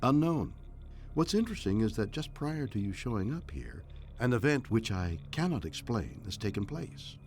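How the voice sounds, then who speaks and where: A man playing a puzzled, formal alien mind housed in an obelisk, speaking in a stately, deliberate game-acting style. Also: lowish voice, big pitch swings, a little mellow, slight background noise. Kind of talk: scripted science fiction game acting.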